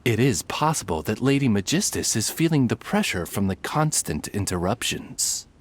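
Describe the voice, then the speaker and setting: A man's voice: fairly low pitch, big pitch swings, normal speed. A man voicing a loyal, dry-witted raven familiar in a video game, with formal, elaborate, butler-like speech. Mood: politely admonishing.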